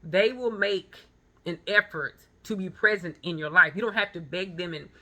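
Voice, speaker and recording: A woman's voice: fairly low pitch, normal speed, pushed and bright. A woman in her thirties giving a blunt, emphatic motivational monologue with personal anecdotes, repeating phrases for stress. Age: 30s. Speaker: vlogger and photographer.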